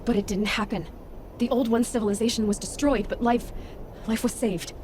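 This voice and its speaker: A woman's voice: a little high, steady tone, brisk, noisy background, lightly acted. An actress playing a sardonic, defiant young woman adventurer in a video game, speaking in a wry, blunt, impatient way. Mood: searching, frustrated.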